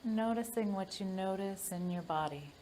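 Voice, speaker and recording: A woman leading a guided meditation in a gentle, soothing manner, leaving long pauses between phrases. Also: mid-range voice, a little hiss or hum.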